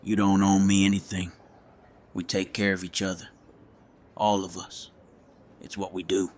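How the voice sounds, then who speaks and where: A man acting a gruff, loyal survivor in an audio drama, terse and plainspoken. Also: low voice, little pitch movement, unhurried, a little mellow. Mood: quietly loyal. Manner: terse, understated.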